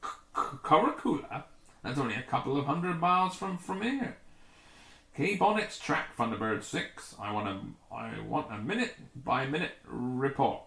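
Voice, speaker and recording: Man acting a brisk, commanding rescue commander. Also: medium pitch, lively intonation, creaky, fairly bright tone, echoey room. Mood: startled, stern. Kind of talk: children's sci-fi adventure story reading.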